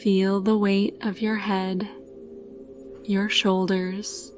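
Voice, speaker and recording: A woman narrates a scripted sleep meditation, calm and soothing. Her delivery is very slow and unhurried, and her pitch stays flat at a medium height. Music plays clearly behind her voice.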